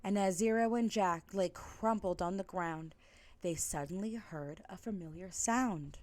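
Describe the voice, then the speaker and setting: A woman reading stories aloud and chatting in an expressive, theatrical way as a live storyteller. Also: on the low side, measured pace.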